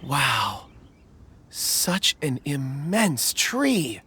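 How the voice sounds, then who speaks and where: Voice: medium pitch, very animated, very slow, bright, forceful tone, slight background noise, exaggerated voice. A performer voicing an earnest, pensive male seeker in an anime-style video game, musing politely and thoughtfully.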